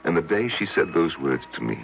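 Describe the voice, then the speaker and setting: Deep gravelly, haunted little man. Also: animated. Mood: halting, haunted. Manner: halting, self-deprecating, intense. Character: short, insignificant, lovestruck, haunted male psychiatric patient. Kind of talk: old-time radio drama acting. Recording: muffled old radio recording. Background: music.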